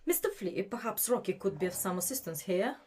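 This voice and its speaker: A woman's voice: mid-range voice, expressive, brisk, darkish tone, lightly acted. A woman playing a vain, indulgent celebrity diva in an audio drama, affected and haughty.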